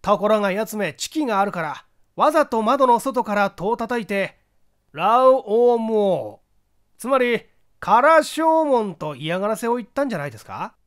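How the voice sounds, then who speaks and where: A man's voice: high voice, big pitch swings, normal speed, fairly bright tone, clear, resonant tone. A man playing a hasty, confident newspaper reporter in a mystery story, eagerly and emphatically laying out his deductions. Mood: excited, assertive.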